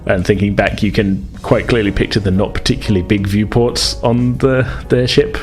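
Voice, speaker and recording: A man's voice: on the low side, fairly even intonation, moderate pace. A man acting as game master, casually improvising narration in informal, hedging, stop-start phrases.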